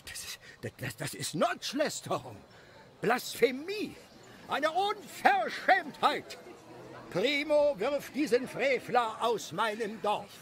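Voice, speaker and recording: Indignant high priest for audio story: high, swooping, pompous bluster. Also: male voice, big pitch swings, takes it slowly, fairly bright tone, heavily acted. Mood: outraged. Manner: pompous, blustering, stammering when outraged. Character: self-important, indignant male high priest of a village.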